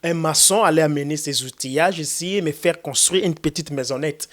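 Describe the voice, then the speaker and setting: A man's voice: a little high, fairly quick. A man from Congo interviewing a gospel singer, asking long, courteous questions full of hesitations. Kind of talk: formal interview.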